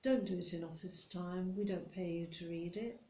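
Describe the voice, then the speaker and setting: A British woman playing a stern, condescending, nitpicking office boss in a deliberate ASMR roleplay, speaking straight to the listener. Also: lowish voice, warm tone, a little hiss or hum, lightly acted. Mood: stern, disapproving. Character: strict, nitpicking female office boss telling off a new employee. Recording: roomy echo.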